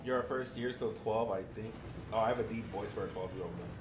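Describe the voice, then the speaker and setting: A man casually streaming a video game, rambling and thinking out loud as he plays. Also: fairly low pitch, fast talker, noisy background. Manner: rambling, chatty, thinks aloud. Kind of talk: casual live-stream commentary. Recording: echoey room, game music behind. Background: game music.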